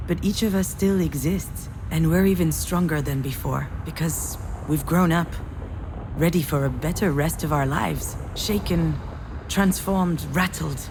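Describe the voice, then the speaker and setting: A woman's voice: low voice, creaky, noisy background. An actress playing a vulnerable, wry, grieving woman writer in a narrative video game, reflective and confiding in a naturalistic style.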